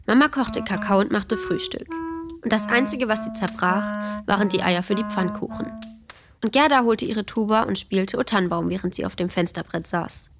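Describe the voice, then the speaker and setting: A woman's voice: mid-range voice, normal speed, music in the background, lightly acted. A woman playing a wry big sister who narrates a family radio play with youthful expression. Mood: fond, wry.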